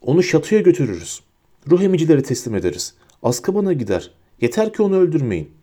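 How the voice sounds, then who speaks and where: A man's voice: a little high, sing-song, fast, mellow, voice pushed up. A man voicing an earnest, breathless teenage boy wizard who speaks urgently.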